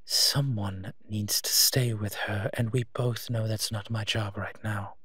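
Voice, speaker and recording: A man playing a teasing, protective monster detective in human form, gentle and husky, in an intimate audio roleplay. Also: lowish voice, fairly even intonation, no echo, heavily acted. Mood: firm, teasing. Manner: slow, teasing, tender endearments.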